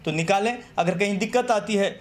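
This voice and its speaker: A man's voice: sits high, brisk. A man giving a methodical, formal statement to the press. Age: 30s. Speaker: political party representative. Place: small office room, seated at a table. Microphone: press microphones on the table. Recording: clearly noisy room recording.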